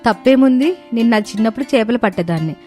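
A woman voicing an eager, cheeky young daughter-in-law in an animated story, excited and chatty. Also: on the high side, fast, soft background music, lightly acted. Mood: proud, eager. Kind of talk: animated moral story dialogue.

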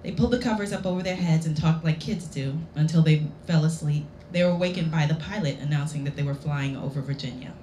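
A woman author reading a story aloud to a live audience, playful and theatrical, with dramatic pauses. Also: low-pitched, dark tone. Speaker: author reading own work. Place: indoor lounge at a public reading event. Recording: roomy live venue recording with background noise.

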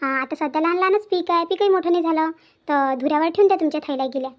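A woman's voice: very high-pitched, rapid-fire, dull audio, slight background noise. A woman voicing a bossy, scolding middle-aged village auntie in a comedy cartoon, with a Vidarbha Marathi accent and a nagging, commanding manner. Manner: nagging, commanding, repeats orders.

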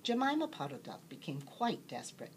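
A woman reading a children's bedtime story aloud as an expressive storyteller. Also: deep voice, fairly quick, a little hiss or hum.

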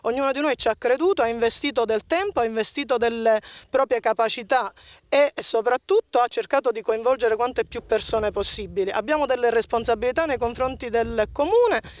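A woman's voice: fairly high pitch, brisk, no room sound. A woman of about forty, a local party coordinator, giving an interview statement outdoors into a handheld microphone. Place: outdoors by a metal fence. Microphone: handheld reporter microphone.

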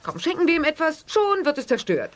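A woman's voice: high-pitched, very animated, fairly quick. An actress playing a strict, easily exasperated mother in a children's radio play, scolding and overbearing.

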